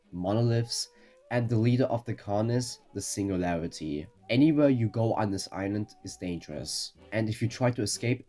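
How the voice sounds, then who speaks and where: A man with a fairly deep voice delivers scripted explainer narration. He lays things out in an orderly, matter-of-fact way. Soft background music runs beneath his words. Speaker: narrator of a ranking video.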